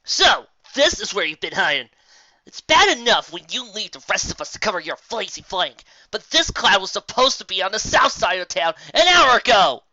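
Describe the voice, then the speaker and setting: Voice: mid-range voice, big pitch swings, normal speed, sharp tone, no echo, muffled recording, pitched above the performer's own voice. A reader voicing a temperamental female pegasus with a blunt, exasperated, grumbling manner in a fan story. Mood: angry. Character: grumpy, temperamental female pegasus weather worker.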